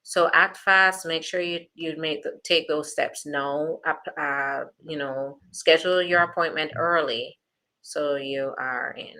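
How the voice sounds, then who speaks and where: A woman's voice: deep voice, steady tone, a little slow, bright, forceful tone. A woman with a Jamaican accent, an immigration attorney, chatting through viewer questions in a reassuring way with plenty of fillers. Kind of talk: live Q&A reading viewer questions.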